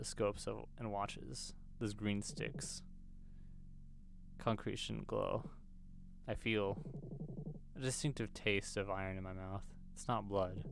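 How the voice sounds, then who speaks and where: A man's voice: fairly low pitch, vocal fry, noisy background. A man hosting gaming videos, reading a visual novel's script aloud as he plays.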